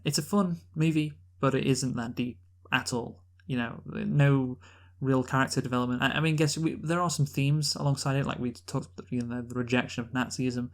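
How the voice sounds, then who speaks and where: A man's voice: mid-range voice, steady tone, moderate pace. A man co-hosting a casual, unscripted film review talk, giving emphatic opinions and repeating his points. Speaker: podcast co-host reviewing films.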